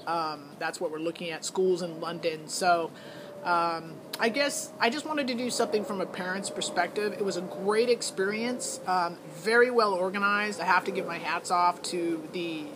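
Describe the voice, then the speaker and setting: A woman's voice: fairly low pitch, moderate pace, noisy background. A middle-aged woman giving a casual, rambling review as a parent, thinking aloud in a hesitant, matter-of-fact way. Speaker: parent vlogger reviewing a singing show audition.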